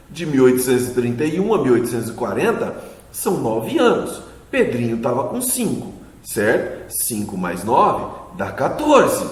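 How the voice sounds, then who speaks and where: Chatty teacher in an echoey room. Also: male voice, on the high side. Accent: Brazilian Portuguese. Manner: chatty, asks questions back, frequent "né?" tags. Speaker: history teacher. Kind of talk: casual video lesson. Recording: echoey room with long reverb.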